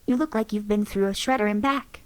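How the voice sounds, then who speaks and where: A woman voicing a composed female synth companion who speaks in a calm, formal, clinical way. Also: medium pitch, brisk, natural voice. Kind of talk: recorded companion voice lines.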